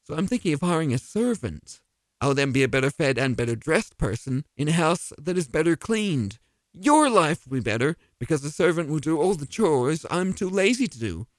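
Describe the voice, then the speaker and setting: Mock-earnest man with a lively voice. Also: a little high, normal speed, dry recording. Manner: mock-earnest, rambling, self-questioning. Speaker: podcast host playing the DM. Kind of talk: scripted comedy monologue.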